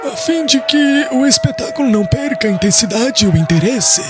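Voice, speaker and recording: Guy doing a jeering bloodthirsty mob man for an audiobook, high exclaiming voice, music behind. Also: expressive, a little fast. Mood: mocking. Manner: mocking, exclaiming. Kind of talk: dramatized audiobook.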